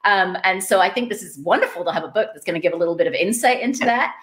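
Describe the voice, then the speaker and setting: A woman's voice: mid-range voice, lively intonation, rapid-fire, a bit bright. A woman with an enthusiastic, encouraging manner, hosting a podcast and interviewing an author in a conversational way.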